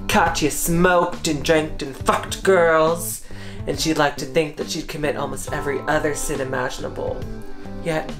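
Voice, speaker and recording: A woman reads a story aloud slowly and deliberately. Her voice is low. A guitar plays clearly underneath. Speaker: story reader. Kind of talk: dramatic reading of fan fiction. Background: guitar music.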